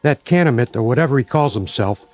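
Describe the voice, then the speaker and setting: An actor playing a demanding military man in a radio drama, with a husky voice. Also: mid-range voice, expressive, quick pace, a little mellow, soft background music, close to an everyday voice. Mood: pressing.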